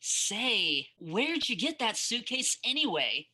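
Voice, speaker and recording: Woman playing a bright, cheeky young lad. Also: on the high side, very animated, slow pace, breathy edge, heavily acted. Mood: breezy. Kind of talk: old-time radio play acting.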